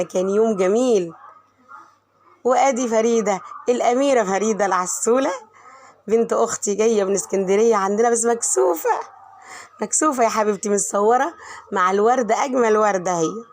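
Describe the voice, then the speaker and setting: Middle-aged Egyptian woman, home vlogger with a cold, hoarse and quick, chatty and warm toward her viewers. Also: on the high side. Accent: Egyptian Arabic. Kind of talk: chatty voice-over narration of home cooking.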